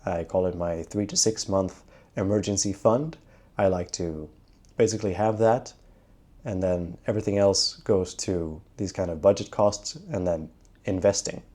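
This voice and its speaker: A young man from Sweden talking casually to camera in a travel vlog, chatty and relaxed, with frequent filler sounds. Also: deep voice, moderate pace. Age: young adult. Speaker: travel vlogger.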